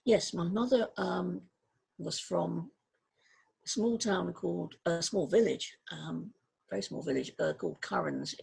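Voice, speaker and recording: Very creaky, husky, high-pitched man. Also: a little mellow, rough recording. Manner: hesitant, self-correcting. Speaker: interviewee. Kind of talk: slow recollection in an interview.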